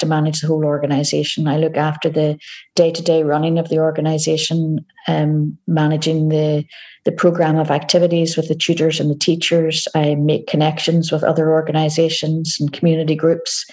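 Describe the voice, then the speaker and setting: A woman with a Northern Irish accent, a community organisation director, giving calm, measured answers as a podcast interview guest. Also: sits low. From Northern Ireland.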